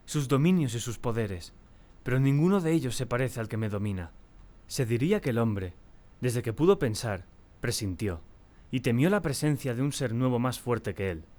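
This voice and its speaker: A man acting a tormented, terrified diarist in a dramatic first-person audiobook narration, with a solemn and deliberate, theatrical delivery. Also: mid-range voice, darkish tone, close to an everyday voice. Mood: troubled, reflective. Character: tormented, terrified man confiding in his diary.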